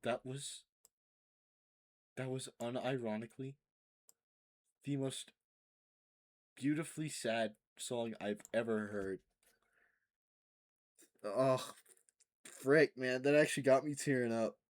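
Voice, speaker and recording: A man's voice: mid-range voice, takes it slowly, pauses often. A man giving effusive, emotional reaction commentary as he plays a game, sometimes reading its text aloud. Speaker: game streamer. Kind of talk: let's play reaction commentary. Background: game music.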